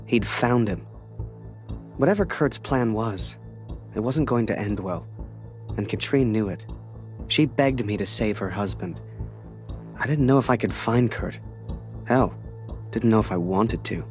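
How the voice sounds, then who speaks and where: An actor playing a weary, disillusioned young war photographer, narrating a game story in a rueful, introspective way with music behind. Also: male voice, lowish voice, unhurried, pauses often, a little mellow, lightly acted. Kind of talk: reflective first-person game narration.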